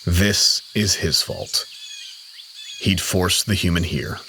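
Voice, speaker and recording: A man with a deep, low voice narrates a dramatic story. His delivery is solemn and measured, and faint insect-like night ambience sits behind him. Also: pushed and bright, a little hiss or hum.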